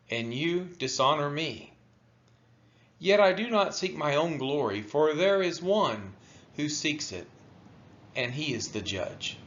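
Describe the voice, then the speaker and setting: A man reading scripture aloud in a solemn style, with deliberate, emphatic phrasing and a dull, lo-fi sound. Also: mid-range voice, fairly bright tone, slight background noise. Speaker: scripture reader.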